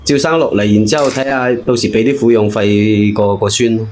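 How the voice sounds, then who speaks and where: A man's voice: on the low side, a little fast, darkish tone, a little hiss or hum. A man with a Hong Kong accent giving advice in casual chat, frank and colloquial.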